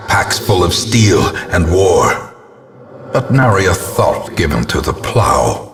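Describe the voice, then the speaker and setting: Deep, husky, ominous old ghostly ancestor. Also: male voice, sing-song, faint music behind, heavily acted. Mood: grim foreboding. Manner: solemn, portentous, deliberate delivery. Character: grim, ominous old ghostly ancestor narrating a doomed estate. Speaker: game narrator. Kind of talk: gothic horror game narration, theatrical and ornate.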